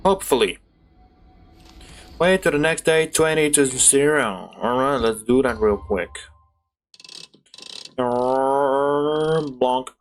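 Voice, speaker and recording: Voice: medium pitch, slow, long pauses. A game streamer giving casual, playful and slangy commentary while playing. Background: game music.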